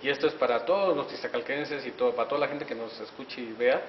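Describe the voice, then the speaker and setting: A man's voice: medium pitch, quick pace. Middle-aged man, reporter, effusive, congratulatory, handheld mic, dull lo-fi sound, faint hiss. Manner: effusive, congratulatory, filler-heavy. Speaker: reporter, interviewer. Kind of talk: community TV interview. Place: wood-paneled auditorium hallway, indoors. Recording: echoey hall from a distance, dull sound.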